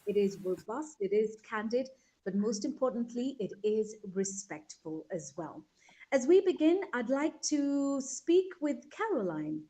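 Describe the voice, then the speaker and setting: A soft-spoken woman, hosting an online panel discussion in a measured way over a video call. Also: a little high, big pitch swings, rough recording. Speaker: host.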